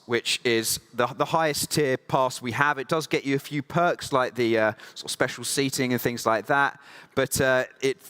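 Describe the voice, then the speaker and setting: Bright, clear-voiced male emcee. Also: mid-range voice. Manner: friendly, filler-heavy, quickening at times. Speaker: conference emcee. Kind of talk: thank-you speech at a conference. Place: conference hall stage.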